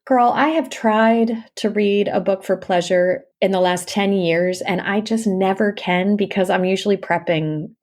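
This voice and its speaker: A middle-aged woman, a guest and audiobook narrator, chatting casually and animatedly in an interview, telling stories with lively swings and laughs. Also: medium pitch, measured pace, hardly any pauses.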